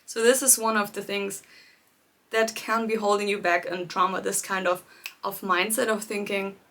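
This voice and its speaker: A woman coaching viewers in a live-streamed talk, speaking gently and reflectively, addressing them directly and laughing lightly between her points. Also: medium pitch, little pitch movement, normal speed. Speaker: life coach hosting a live challenge.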